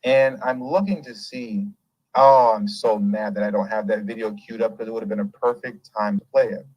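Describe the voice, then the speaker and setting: A man hosting a casual commentary show, talking in an animated, emphatic and rambling way and laughing often. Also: lowish voice, normal speed, dry recording, rough recording. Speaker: host.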